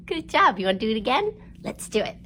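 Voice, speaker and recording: A woman presenting a storytime for young children, reading and explaining in an expressive, simple, encouraging way. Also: fairly high pitch, fairly quick, slight background noise. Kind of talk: read-aloud storytelling and instruction for young children.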